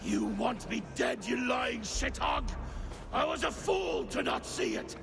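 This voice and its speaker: An old Viking king, sick and paranoid, with a gravelly, high-pitched bellow and a slow, heavy delivery. He hurls accusations with raw contempt. Game music swells loudly behind him. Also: animated, full character voice. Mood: furious. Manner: shouts accusations with furious contempt. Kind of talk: video game cutscene acting.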